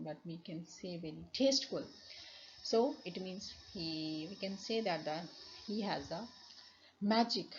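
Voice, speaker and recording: A woman lecturer with a Pakistani English accent, a native Urdu speaker, explaining slides slowly with many fillers and restarts. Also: on the low side. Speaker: university lecturer. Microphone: voice-over on slides. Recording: dull lo-fi recording with faint noise.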